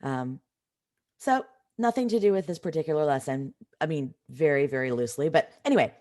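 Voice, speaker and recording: A woman with a slightly raspy voice from a cold, teaching an informal live online math lesson in a conversational way, stressing key points. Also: low-pitched, animated, brisk, dark tone. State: has a cold.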